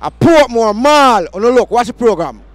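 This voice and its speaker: A young Jamaican man hosting a street market vox pop outdoors with a handheld mic, hyped and shouting slogans in Patois banter. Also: very high voice, very animated, moderate pace, no room sound.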